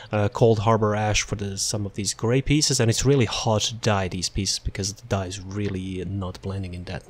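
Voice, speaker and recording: A man casually walking through a game character build, relaxed and rambling, with frequent fillers and restarts. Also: on the low side. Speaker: gaming content creator. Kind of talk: casual build showcase commentary.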